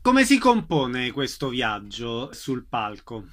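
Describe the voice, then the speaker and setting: A man's voice: medium pitch, huge pitch swings, takes it slowly, fairly bright tone. A man hosting a radio programme, announcing events and interviewing guests.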